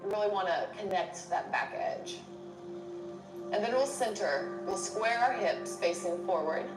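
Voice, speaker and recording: A woman's voice: medium pitch, unhurried. Woman teaching yoga in an echoey room. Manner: encouraging, step-by-step cueing. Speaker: yoga instructor. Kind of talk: guided yoga class instruction. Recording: echoey room, distant mic, music behind. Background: music.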